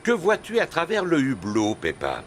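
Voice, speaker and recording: Un comédien qui double un papa cochon bonhomme et un peu maladroit, hésitant, dans un dessin animé pour enfants. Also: male voice, a little high, huge pitch swings, moderate pace, a little hiss or hum. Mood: good-natured, hesitant. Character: good-natured, slightly bumbling daddy pig. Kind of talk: children's cartoon dubbing.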